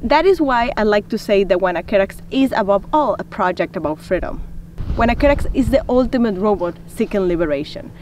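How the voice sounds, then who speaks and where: A woman's voice: medium pitch, animated, brisk, darkish tone, slight background noise. A woman from Argentina with an Argentine Spanish accent, a native Spanish speaker, narrating an artist's project from a script. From San Juan, Argentina. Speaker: interdisciplinary artist.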